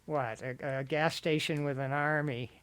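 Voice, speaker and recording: A man in a casual political radio discussion, speaking haltingly and laughing often. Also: on the high side, steady tone, fairly bright tone, slight background noise. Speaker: radio discussion guest or co-host. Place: radio studio.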